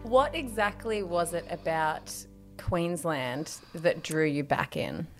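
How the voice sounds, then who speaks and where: A woman's voice: sits low, big pitch swings, takes it slowly, soft background music. Upbeat woman hosting podcast. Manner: upbeat, encouraging. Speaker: podcast host.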